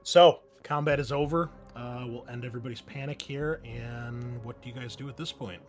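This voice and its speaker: A man's voice: medium pitch, moderate pace, faint music behind. A man speaking informally and conversationally as game master, narrating and refereeing a tabletop roleplaying game.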